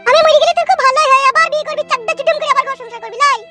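A voice performer playing a shrill, nagging mother in a cartoon, who scolds her husband without pause. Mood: scolding. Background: background music.

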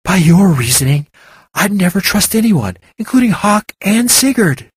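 A narrator voicing a weakened but kind young woman healer who speaks gently and reasonably. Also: medium pitch, sing-song, moderate pace, breathy edge, dry recording, clean studio sound, voice pushed up. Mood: reasoning.

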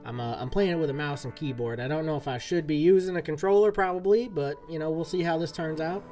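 Streamer, male, drawl, folksy chatter, slightly high voice, faint music behind. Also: fast talker, warm tone. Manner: folksy cowboy-style talk. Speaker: game streamer. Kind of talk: casual live-stream chat. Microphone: desk mic, game audio from a speaker.

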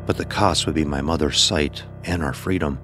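A man playing a blind son who narrates his dark ordeal in a solemn, literary way, as horror drama. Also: a bass voice, moderate pace. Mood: somber. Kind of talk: scripted first-person horror narration. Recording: voice over background music.

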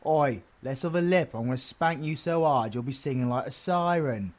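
A man's voice: mid-range voice, normal speed, natural voice. A British man playing a bossy, cheeky supervillain in an audio roleplay, swearing and teasing in slangy banter. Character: cheeky, foul-mouthed, bossy male supervillain.

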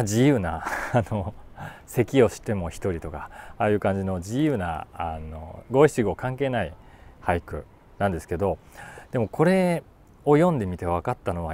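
A man in his forties talking casually about a book, thinking aloud and reading short lines with animated emphasis. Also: lowish voice. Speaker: YouTube host, voice actor. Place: outdoors on a city rooftop.

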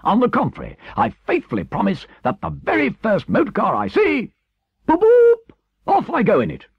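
A man voicing a vain, excitable, unrepentant toad with a gushing, theatrical manner in a storybook reading. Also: high voice, moderate pace, creaky, husky, no echo, lo-fi sound, voice pushed up, heavily acted. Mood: gleefully defiant. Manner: theatrical, gushing, wildly swooping.

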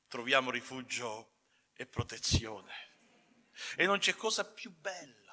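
A male evangelical preacher with a high, piercingly bright voice delivers a sermon in a reverberant hall. His delivery is emphatic and fervent, built in deliberate phrases. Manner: emphatic, swinging between measured phrases and fervent shouts. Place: church hall, indoors at a pulpit. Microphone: pulpit gooseneck microphone.

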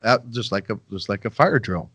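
A man's voice: lowish voice, normal speed, a bit bright, slightly rough, dry recording. A middle-aged man hosting a podcast over a video call, chatting loosely with a guest and joking with his listeners. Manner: rambling, self-deprecating, folksy asides to listeners. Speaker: podcast host. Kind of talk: casual interview chat. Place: home room, video call. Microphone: headset with boom mic.

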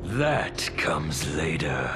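A man's voice: very deep voice, big pitch swings, drawn-out words, heavily acted. A male actor playing a gloating, mad titan villain in a video game, theatrical and savoring every word. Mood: menacing. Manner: theatrical, mock-grateful, savoring words. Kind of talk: video game cutscene acting. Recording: loud dramatic music behind.

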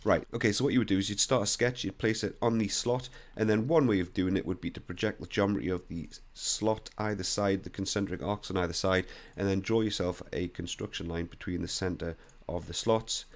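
A young man with a croaky voice, bluntly talking through a CAD software screen recording. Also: low-pitched, steady tone, a little fast. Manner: blunt, opinionated, conversational. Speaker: CAD software tutorial host.

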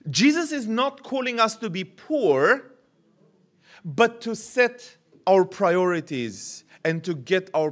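A man in his thirties preaching a sermon at a podium mic in a church hall, animated and gesturing, often repeating words as he builds a point. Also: a little high. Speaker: pastor. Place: church hall with curtained stage.

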